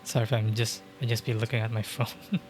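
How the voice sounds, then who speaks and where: A man's voice: fairly low pitch, flat delivery, a little fast. A young man chatting casually on a game livestream, rambling with lots of fillers and frequent chuckles, into a dynamic mic at home. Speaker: streamer. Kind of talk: casual livestream chat while gaming. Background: game music.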